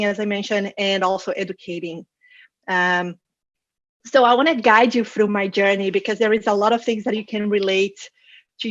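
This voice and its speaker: A woman's voice: mid-range voice, no room sound. Brazilian-accented woman presenting, slightly dull audio. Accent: Brazilian Portuguese. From Rio de Janeiro, Brazil. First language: Portuguese. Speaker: conference speaker, data science manager. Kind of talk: informal conference presentation over slides.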